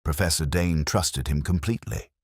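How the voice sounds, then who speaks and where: Reserved female academic read by a male narrator, very deep register, composed and measured, detective audio drama, dry sound. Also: breathy edge, no echo. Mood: calm, reserved.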